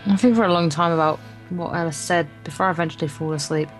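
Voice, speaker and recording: A woman with a slightly low voice reads a story game aloud as a casual co-player. She trips on the odd word and carries on. Guitar music plays softly behind her. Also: fairly even intonation, quick pace, poor audio. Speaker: guest co-player reading the narration aloud. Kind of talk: reading a visual novel aloud, informal let's play. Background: game's guitar music.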